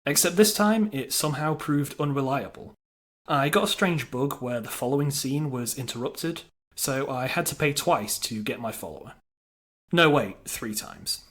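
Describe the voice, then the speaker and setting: A man's voice: medium pitch, animated, moderate pace, a bit bright. A man delivering scripted narration for a video game review, with a wry, dryly humorous manner.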